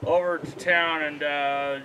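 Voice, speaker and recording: A man's voice: a little high, level tone, slow, sharp tone, a little hiss or hum, low-quality recording. A man voicing lazy storybook farm animals who are full of casual excuses but eager for food. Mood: breezy, evasive.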